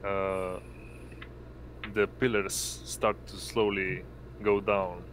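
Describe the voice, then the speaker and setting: A man improvising narration as game master of a tabletop roleplaying game, speaking haltingly in short phrases. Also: low voice, level tone, a bit bright, a little hiss or hum. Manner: halting, pieces descriptions together in short phrases.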